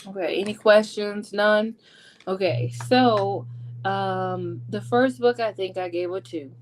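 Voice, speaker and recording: A woman's voice: medium pitch, takes it slowly, slight background noise. A woman giving a casual, chatty book recap in a playful, sassy, slangy way, like a vlog host. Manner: sassy and playful, slangy, acting out characters' lines. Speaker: book vlogger hosting a readalong discussion.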